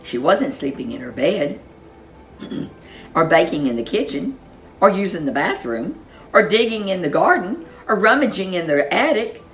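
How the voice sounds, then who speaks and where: A woman storyteller reading a children's storybook in an expressive, animated way, with dramatic emphasis. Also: on the low side, normal speed, soft background music, rough recording.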